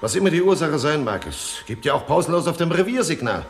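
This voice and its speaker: An actor playing a brisk, authoritative male police inspector in a 1970s German radio crime play. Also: fairly high pitch, sing-song, creaky, faint music behind. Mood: assured, polite.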